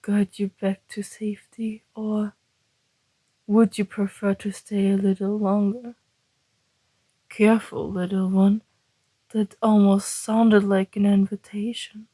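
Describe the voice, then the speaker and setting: A woman playing a suave, teasing male vampire in an ASMR roleplay, speaking in a breathy, deliberate, flirtatious half-whisper. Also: mid-range voice, flat delivery, mellow, no echo, rough recording. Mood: amused, tempted.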